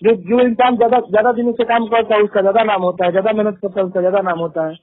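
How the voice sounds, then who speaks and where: A man's voice: sits high, fairly even intonation, fast talker, no echo. A man with a Bhojpuri accent speaking as an interviewed singer, answering informally and defensively over the phone, often repeating himself. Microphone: phone call. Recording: tinny, muffled phone line.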